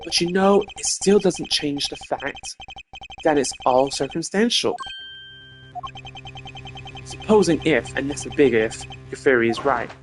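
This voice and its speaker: A man's voice: a little high, expressive, unhurried, pauses often, rough recording. A man voicing a smug, slippery male prosecutor under accusation in a game, with a mocking, condescending manner. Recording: music behind the voice.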